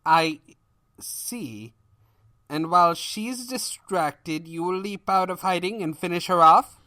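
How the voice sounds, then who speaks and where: A man's voice: high voice, slow pace, no echo, clean studio sound, voice pushed up, exaggerated voice. A man voicing a loyal, long-suffering pony chancellor, deferential and servile to an evil king, in a story. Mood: resigned. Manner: deferential, servile, deadpan asides.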